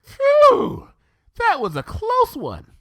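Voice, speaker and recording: A man voicing a bubbly young female cartoon pony in a story reading, with a perky, breezy, excitable manner. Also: extremely high pitch, drawn-out words, no echo, pitched above the performer's own voice, full character voice. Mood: relieved.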